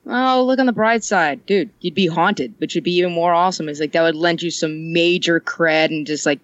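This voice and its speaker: A woman's voice: lowish voice, a little fast, no room sound. Woman rambling and joking on a podcast, dry dull-sounding mic, laughs a lot. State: ill. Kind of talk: casual chat.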